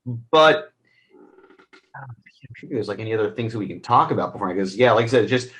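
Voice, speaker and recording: A man co-hosting a casual film review, talking in a halting way as he searches for his words. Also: lowish voice, expressive, a bit bright, poor audio.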